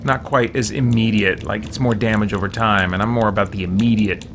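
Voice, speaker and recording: A man's voice: fairly low pitch, fairly even intonation, a little fast, background noise. A man streaming a role-playing game, giving casual commentary and muttering his thoughts as he goes. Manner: thinking aloud, muttering to himself.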